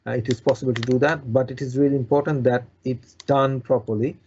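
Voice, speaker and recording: A man from Bangladesh speaking as a surgeon being interviewed, explaining in a halting way with repeated words and restarts. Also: medium pitch, little pitch movement, a little mellow, slightly rough, highs cut off. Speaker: consultant bariatric surgeon, interview guest.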